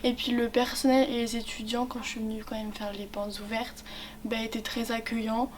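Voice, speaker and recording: 18yo girl student, hesitant and flat, faint hiss behind. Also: a little high, moderate pace, distant mic. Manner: hesitant, many fillers. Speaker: student ambassador. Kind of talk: casual testimonial.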